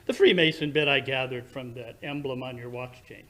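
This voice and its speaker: A man playing a brilliant, condescending Victorian English gentleman detective who lectures precisely and theatrically in 1940s radio drama style. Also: mid-range voice, normal speed, close to an everyday voice. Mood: confident deduction.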